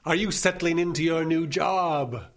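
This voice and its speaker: A man's voice: on the high side, unhurried. A man playing a genial, eccentric older doctor in an audio comedy drama, courtly, effusive and whimsical. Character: genial eccentric old doctor who takes dictation from ghosts.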